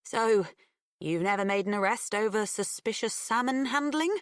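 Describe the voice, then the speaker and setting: A woman voicing a sharp but awkward teenage girl in an audiobook, earnest, nervous and hesitant. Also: mid-range voice, normal speed, dry recording, clean studio sound, pitched above the performer's own voice, close to an everyday voice. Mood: eager, nervous.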